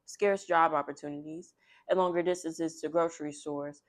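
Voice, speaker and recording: A woman hosting a children's read-aloud, speaking in an expressive, emphatic storyteller's manner. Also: sits low, normal speed.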